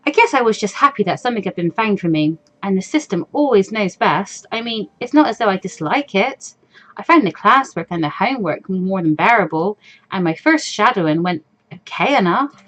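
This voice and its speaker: A woman's voice: medium pitch, expressive, fairly quick, fairly bright tone, poor audio. A woman voicing an anxious, awkward fourteen-year-old schoolgirl from a visual novel, hesitant and self-doubting. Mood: gloomy, doubting. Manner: hesitant, stammering, over-thinking. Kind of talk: visual novel character reading. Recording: music behind the voice.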